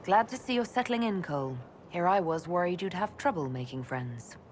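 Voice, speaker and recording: A woman acts a compassionate elf mage who leads others in a fantasy game. Her voice sits a little low and she talks in a measured, firm manner. There is faint ambient noise around her. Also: expressive, close to an everyday voice. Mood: calm. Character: composed, compassionate young female elf mage leader. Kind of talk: video game character acting.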